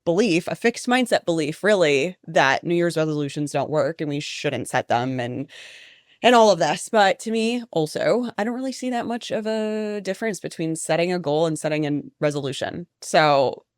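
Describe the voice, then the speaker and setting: Low-voiced woman researcher explaining. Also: moderate pace, dry recording, studio quality. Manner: explanatory, chatty, many "like" fillers. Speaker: mindset researcher, podcast guest. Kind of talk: conversational podcast interview.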